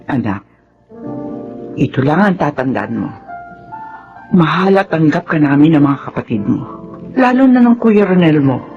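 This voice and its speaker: A man playing a gentle, accepting parent in a Filipino radio drama, with a Filipino accent. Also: a little high, very animated, unhurried, pauses often, dull audio, faint music behind. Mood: tender, earnest. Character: gentle accepting parent reassuring a grown child.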